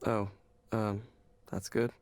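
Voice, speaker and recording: A man's voice: on the low side, level tone, slow pace, darkish tone, clear, resonant tone, dry recording. A man acting a despairing, hollow office worker in a video game, confiding in a hesitant, anguished way. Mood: hollow, dejected.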